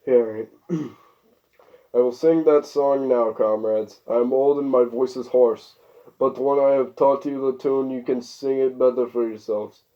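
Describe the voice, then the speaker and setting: A hoarse old boar, voiced by a man, declaims like a solemn storybook elder rousing his comrades. His pitch is medium, and the sound is dull and lo-fi. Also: steady tone, a little slow, lightly acted. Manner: solemn, declaiming song verses. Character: old male boar, rousing revolutionary elder of farm animals.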